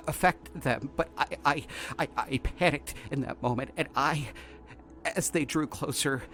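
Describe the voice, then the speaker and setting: A man playing a shaken, earnest researcher in an audio drama, giving halting, haunted, stammering testimony. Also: medium pitch, a bit bright. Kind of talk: dramatic first-person audio drama narration.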